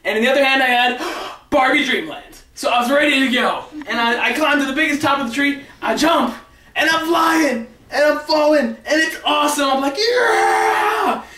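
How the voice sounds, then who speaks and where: A man telling comic stories in an animated, excitable, rambling way with dramatic build-ups. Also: extremely high pitch, brisk. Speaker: storyteller. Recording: roomy echo, far from the mic.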